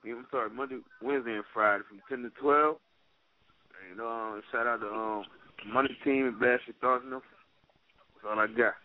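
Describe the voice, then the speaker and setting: American male guest, medium pitch, hesitant casual talk, low-key, telephone quality. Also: fairly even intonation, moderate pace. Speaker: show guest. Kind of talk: casual chat. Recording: muffled phone line.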